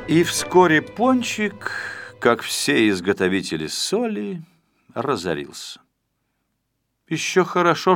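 Male narrator for a children's audio story: medium pitch, expressive scripted delivery, dry sound with music behind.

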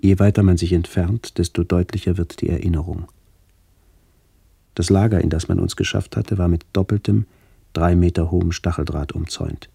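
A middle-aged man reading a literary memoir for radio in a measured, sober way, with a gravelly voice. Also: sits low, steady tone, warm tone, no echo. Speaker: author-narrator of a memoir radio play. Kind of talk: scripted literary narration, reminiscence.

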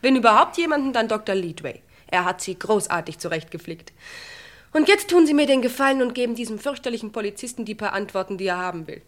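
An actress playing a brisk, cheery nurse who coaxes her patient in a vintage radio crime play. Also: fairly high pitch, expressive, lightly acted. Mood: cheery, coaxing. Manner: bustling, coaxing.

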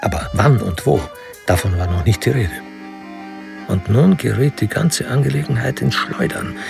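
Radio play narrator, male, fry-edged voice, literary crime narration over clear music. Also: medium pitch, expressive, moderate pace.

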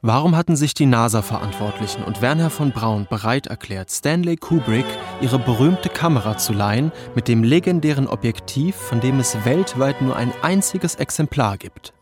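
A man delivers a scripted translated voice-over for a documentary. His pitch is average, and music plays clearly behind him. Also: expressive, normal speed, hardly any pauses.

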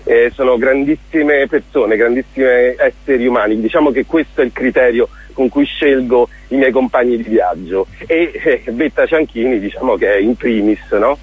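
Enthusiastic man with a slightly husky voice. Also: medium pitch, moderate pace, few pauses, no room sound, rough recording. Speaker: interview guest, theatre director. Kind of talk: radio interview, casual promotional talk.

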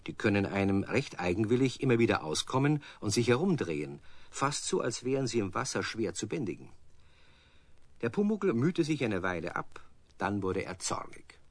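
Casting: children's storyteller, deep, slightly rough voice, unhurried scripted narration. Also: male voice, sing-song. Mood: storytelling.

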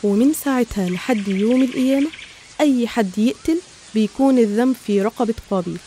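A woman's voice: on the high side, brisk, mellow, a little hiss or hum. A woman with an Egyptian accent narrating a scripted religious story.

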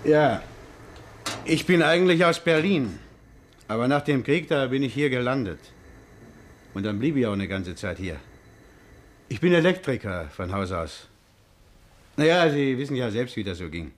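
An actor in a 1950s German radio crime drama playing an anxious, hot-tempered working-class man who tells his story haltingly. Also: mid-range voice, animated, close to an everyday voice. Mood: uneasy, confiding.

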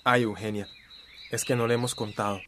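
A man's voice: lowish voice, fairly even intonation, brisk, a bit bright, slight background noise, close to an everyday voice. A man playing a concerned, practical friend in a radio drama, earnest and explanatory.

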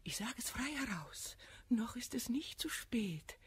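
An actress playing an effusive, confiding noblewoman who flatters and feigns sympathy in a classic verse radio comedy. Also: fairly high pitch, measured pace, husky, no echo, in a whisper, exaggerated voice. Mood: pitying, insinuating.

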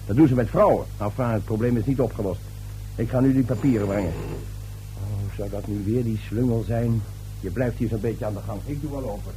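A man's voice: fairly low pitch, brisk, mellow, a little hiss or hum, close to an everyday voice, rough recording. An actor in a radio play playing an irritable husband who is impatient and scoffing with his wife. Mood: irritated, dismissive. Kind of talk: radio drama acting.

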